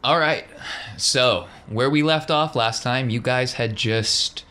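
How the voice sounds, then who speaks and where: A man's voice: mid-range voice, slow, sharp tone, soft background music. A man hosting a tabletop role-playing podcast as game master, chatting casually with his players.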